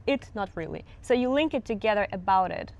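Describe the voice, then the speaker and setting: A woman's voice: medium pitch, lively intonation, brisk, darkish tone, muffled recording. A woman with a Russian accent and a mid-range voice teaches English pronunciation. She explains patiently, models each sound herself and works through examples step by step. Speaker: accent coach.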